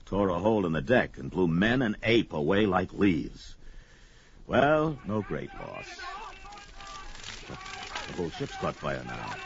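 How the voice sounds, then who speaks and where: A man's voice: deep voice, very animated, unhurried, long pauses, slight background noise, heavily acted. An actor playing a bold, grim barbarian warrior who narrates his own actions melodramatically in an audio adventure drama. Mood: grim, resolute. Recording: dull lo-fi audio drama under music.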